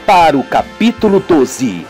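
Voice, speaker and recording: Slow, theatrical Brazilian male narrator. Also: on the high side, big pitch swings, darkish tone, soft background music. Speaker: narrator and presenter. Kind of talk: dramatic scripted narration of a radio serial.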